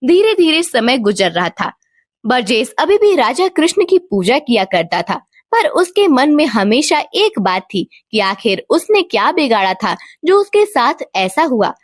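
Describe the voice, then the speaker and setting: A woman's voice: high-pitched, sing-song, unhurried, dry recording, clean studio sound. A woman storyteller giving scripted narration of a moral tale.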